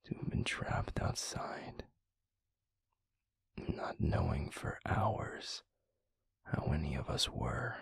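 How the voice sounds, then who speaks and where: A man playing a tender, protective werewolf boyfriend in an intimate roleplay audio drama, speaking in a hushed, breathy, pleading way. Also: slow pace, long pauses, dry recording, whispered, exaggerated voice. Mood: tender, reassuring. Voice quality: breathy, gravelly, husky low voice. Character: tender, protective, stubbornly caring adult male werewolf boyfriend.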